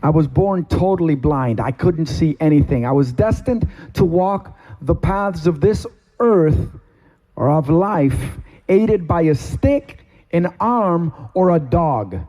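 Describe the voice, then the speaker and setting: A middle-aged man from Cuba, a pastor giving a sermon testimony from a stage, with slow, deliberate, emphatic phrasing. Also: on the high side, lively intonation, warm tone, poor audio. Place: stage in a meeting hall.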